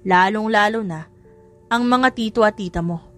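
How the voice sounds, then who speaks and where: A woman's voice: mid-range voice, moderate pace, natural voice. A woman voicing a firm, cautioning personal assistant who instructs a young heir in an audiobook drama. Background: music.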